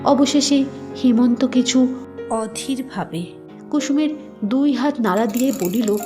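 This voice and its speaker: A woman reading scripted literary narration as a storyteller, measured and expressive. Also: a little high, faint music behind.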